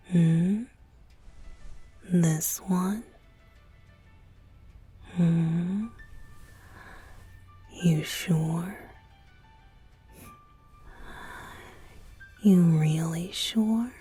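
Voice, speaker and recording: Girl doing a breathy young boyfriend voice for asmr, super slow, teasing and sweet, close dry mic. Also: fairly low pitch, no room sound, exaggerated voice. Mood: soft, coaxing. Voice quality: soft, breathy, often dropping to a whisper. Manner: teasing, coaxing, giggly, slow with pauses. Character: young male pop idol boyfriend, playful, teasing, affectionate. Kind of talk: intimate ASMR roleplay. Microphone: close studio microphone, dry.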